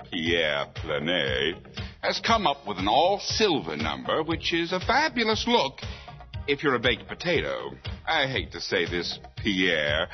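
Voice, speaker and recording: A catty, flamboyant fashion correspondent in a comic TV report. His mid-range voice swoops theatrically, arch and drawn out, with music underneath. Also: medium pitch. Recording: slightly dull, music behind.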